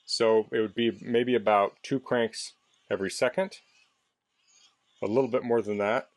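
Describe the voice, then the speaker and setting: A man's voice: lowish voice, fairly even intonation, normal speed. A man casually demonstrating a product indoors in a basement, explaining things in a plainspoken way as a reviewer.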